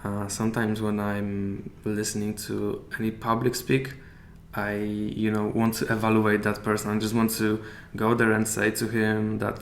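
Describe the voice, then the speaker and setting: A man with a Polish accent, a native Polish speaker, chatting as a podcast guest and often pausing to search for English words. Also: on the low side, monotone. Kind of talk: casual interview chat. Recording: echoey room with faint hiss.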